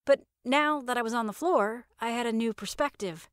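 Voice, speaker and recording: A woman reading first-person comedic fiction in a wry, sardonic, expressive storytelling manner. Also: fairly high pitch, normal speed, clean tone, no echo, clean studio sound. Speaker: narrator of fiction podcast.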